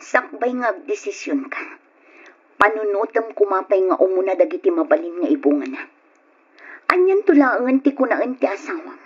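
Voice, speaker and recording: A husky-voiced mother in an Ilocano radio serial. Her pitch moves in wide swings as she plays the part. The recording is dull and lo-fi, like old radio. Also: very animated, normal speed. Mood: guarded, hurt. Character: Donna, single mother, tender with child, guarded with ex-lover. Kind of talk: Ilocano radio drama acting.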